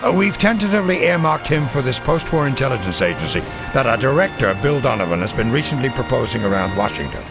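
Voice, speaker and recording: A commanding colonel of the Second World War briefs his officers in a formal, deliberate way. His voice is gravelly and animated, rising and falling with weighty emphasis. Prominent music plays behind him, as in a war game cutscene. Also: mid-range voice. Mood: reflective, warm. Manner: measured, formal, crisp military delivery with weighty pauses. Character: formal, authoritative WWII American intelligence colonel briefing officers. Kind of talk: scripted dramatic military briefing.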